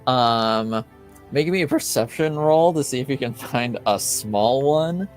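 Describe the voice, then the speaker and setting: A man narrating a tabletop game as game master, haltingly and casually, searching for his words. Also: mid-range voice, animated, soft background music.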